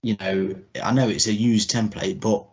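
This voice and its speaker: A man co-hosting a podcast in casual chat, talking in a rambling, halting, informal way. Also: fairly low pitch, fairly even intonation, creaky. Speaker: podcast co-host. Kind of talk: casual chat about retro cartoon video games. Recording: dull, muffled lo-fi audio.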